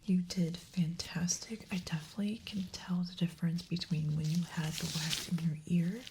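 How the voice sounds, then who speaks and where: A woman whispering softly and breathily in a gentle, reassuring way, as an examiner in an ASMR medical roleplay. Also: lowish voice, fairly even intonation, moderate pace, slight background noise, poor audio.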